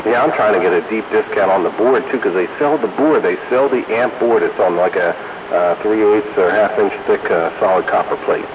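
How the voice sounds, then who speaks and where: A man's voice: on the low side, brisk. A man chatting casually with fellow hobbyists over a CB radio. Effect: CB radio transmission. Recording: muffled CB radio with clear hiss.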